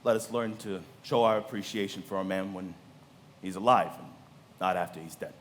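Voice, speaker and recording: An actor playing an evasive older businessman in a radio play, speaking haltingly and hesitantly. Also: deep voice, a little hiss or hum, lightly acted. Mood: reluctant, subdued. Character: evasive older businessman, fearful of getting involved. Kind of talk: live radio play acting. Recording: reverberant hall.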